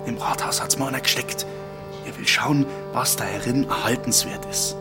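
A man's voice: medium pitch, moderate pace, close to an everyday voice. A man playing a wily, fussing village mayor with a folksy, emphatic Bavarian dialect in a drama. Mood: urgent, confiding. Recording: music behind the voice.

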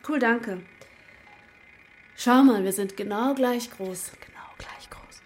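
A woman's voice: on the high side, slow. An actress playing a breezy, forward young woman in a naturalistic radio drama, talking casually in youthful slang. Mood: breezy, friendly. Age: young adult.

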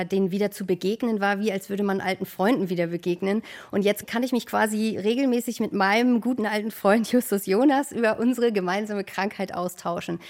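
Woman fan in her 30s-40s chatting in an interview, creaky voice, really heartfelt. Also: mid-range voice, darkish tone. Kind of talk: personal interview storytelling.

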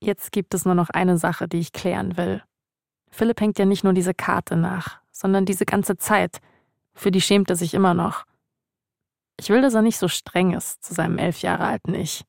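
Knarzige Podcast-Moderatorin, trocken aufgenommen. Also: female voice, on the low side, fairly even intonation, normal speed, creaky, darkish tone, dry recording, studio quality. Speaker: host and narrator. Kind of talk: podcast narration and interviewing.

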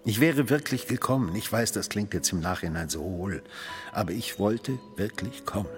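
A low man's voice from an actor, halting and full of apology, a lover making lame excuses. The radio drama has a roomy reverb and faint music. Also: expressive, close to an everyday voice. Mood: remorseful. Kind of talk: German radio drama acting.

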